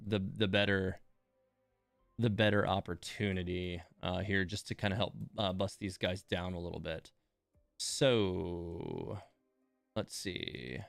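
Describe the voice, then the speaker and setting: Low voiced guy streaming a game, casual, kinda thinking out loud as he plays. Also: vocal fry, clear, resonant tone, no room sound. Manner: hesitant, thinking aloud in broken phrases. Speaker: game livestream host. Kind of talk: casual live gameplay commentary.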